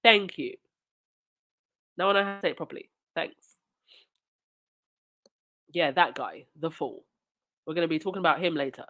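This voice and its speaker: A woman's voice: deep voice, lively intonation, normal speed, pauses often, fairly bright tone. A woman talks on her live stream while fighting a cold, her nose stuffy. She reads comments and thanks supporters, then turns indignant. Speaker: livestream host.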